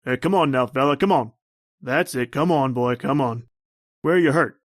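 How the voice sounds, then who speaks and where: A man's voice: mid-range voice, moderate pace, dry recording, lightly acted. A man as a tough street cop, patronizing, slangy, skeptical, dry studio sound, old-time radio drama. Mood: brisk.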